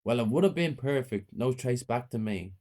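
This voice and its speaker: A man's voice: medium pitch, animated, normal speed, warm tone, lightly acted. Villain: man voicing a cruel mother, menacing, gloating, swooping intonation. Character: cruel murderous mother, cold and taunting.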